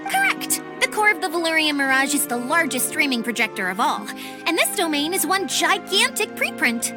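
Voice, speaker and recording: A woman's voice: sits high, very animated, normal speed, music in the background. A woman voicing a shy but cheerful female water spirit mascot in a video game cutscene, bubbly and easily flustered. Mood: bubbly, confident.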